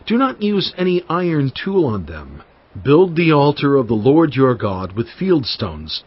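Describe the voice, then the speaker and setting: A man playing a solemn, commanding Hebrew prophet and lawgiver in a dramatized audio Bible, declaiming deliberately to his people. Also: medium pitch. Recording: dull band-limited audio over music. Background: background music.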